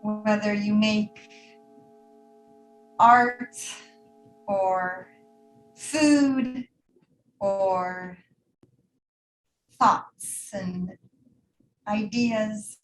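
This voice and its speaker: A woman teaching a guided yoga nidra meditation in a soothing, unhurried way, leaving pauses between trailing phrases. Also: mid-range voice, echoey room, faint music behind, rough recording.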